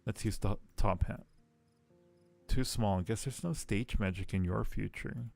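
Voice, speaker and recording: A man's voice: on the low side, a little slow, vocal fry, a little mellow, soft background music. A man streaming a game casually, thinking aloud as he plays and reading on-screen text to his viewers. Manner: thinks aloud while playing. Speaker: streamer playing a point-and-click game.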